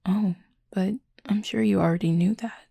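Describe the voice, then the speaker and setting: Woman as a husky, gravelly, flirty werewolf. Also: medium pitch, steady tone, moderate pace, dark tone, dry recording. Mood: teasing, flirty. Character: flirty, teasing werewolf pack leader, confident and protective. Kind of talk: intimate audio roleplay.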